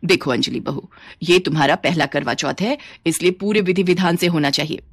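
A woman's voice: on the low side, a little fast, slightly rough, no room sound, clean studio sound. Woman acting a bossy middle-aged mother-in-law. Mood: demanding. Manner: stern, instructing.

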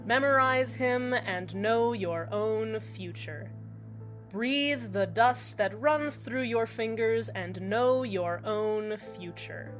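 A woman playing an eerie small-town community radio host in a scripted fiction podcast, deadpan and measured, with deliberate pauses. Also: on the high side. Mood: solemn, ominous. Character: eerily cheerful female small-town community radio host, uneasily upbeat. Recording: music under the voice. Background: background music.

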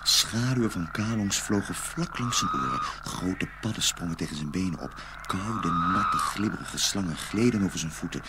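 A man narrating a dark fairy tale in a dramatic storytelling style. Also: low-pitched, lively intonation, normal speed, heavy background noise.

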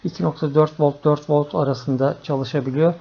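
A man narrating an informal electronics tutorial in an unhurried, matter-of-fact way. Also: on the high side, fairly even intonation, gravelly, slight background noise. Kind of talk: informal explanatory screencast narration. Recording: dull, lo-fi screencast audio.

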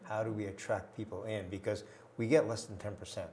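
A man's voice: lowish voice, steady tone, brisk, a little mellow, slight background noise. An older man hosting a community TV interview in a small studio, asking questions and giving short encouraging replies. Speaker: TV talk show host.

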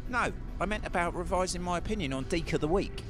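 A man's voice: sits high, quick pace, music in the background, natural voice. A man playing a surly, cocky young hacker in a sci-fi audio drama, curt and scornful. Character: surly, cocky twenty-something male hacker soldier.

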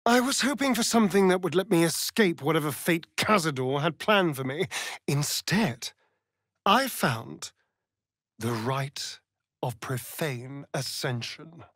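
A sardonic, vain vampire rogue from a video game, who speaks in a slow, husky drawl. His delivery is arch and flirtatious, with theatrical swoops in pitch. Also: animated, fairly bright tone, no echo, clean studio sound. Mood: bitter, confiding. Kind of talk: video game character acting.

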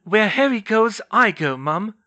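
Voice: medium pitch, sing-song, moderate pace, sharp tone, voice pushed up. A narrator voicing a blunt, defiant teenage witch daughter who is snappy and insistent, in a fantasy audiobook.

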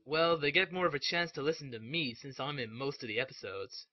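A man's voice: a little high, brisk, dry recording, lightly acted. A man playing a smug, boastful adult ninja teacher bantering in a comedic parody announcement. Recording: dull, dry lo-fi home dub.

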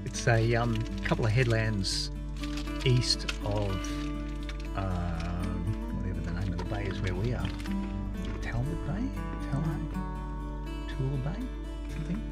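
A man's voice: lowish voice, lively intonation, measured pace, long pauses, loud music behind the voice. A man in his fifties with an Australian accent, chatting casually to his camera while on a road trip. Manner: easygoing, chatty, self-mocking. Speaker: travel vlogger. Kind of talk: casual travel vlog narration. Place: outdoors on a beach and inside a car. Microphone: action camera held at arm's length.

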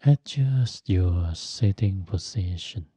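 Man, soft dark husky voice, guiding meditation super slowly like a monk teacher. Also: deep voice, lively intonation, no room sound. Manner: gentle, instructive, phrase by phrase with long pauses. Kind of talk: guided meditation.

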